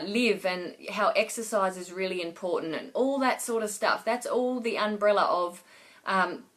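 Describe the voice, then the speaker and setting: Woman, business coach on a live stream, chatty and rambling, slightly hoarse from a cough. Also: medium pitch, a bit bright. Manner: rambling, many fillers and restarts. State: losing her voice with a cough. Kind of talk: casual Facebook Live talk to viewers.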